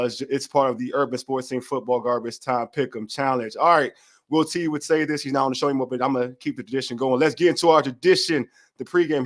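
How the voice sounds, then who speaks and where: A man, hosting a casual sports podcast over a video call and talking in loose, slangy repeats. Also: mid-range voice. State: hoarse from a cold. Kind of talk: casual sports talk show.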